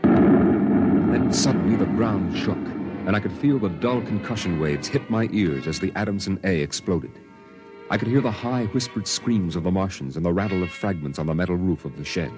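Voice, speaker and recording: A man narrating in a measured, somber way as the grown boy recalls the story, in 1950s radio drama style, from an old broadcast with music beneath. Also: low-pitched, close to an everyday voice.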